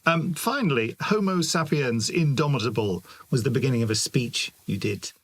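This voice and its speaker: A man with a British accent and a gravelly, husky voice, putting questions in a conversational interview. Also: mid-range voice, expressive, moderate pace, fairly bright tone, no room sound.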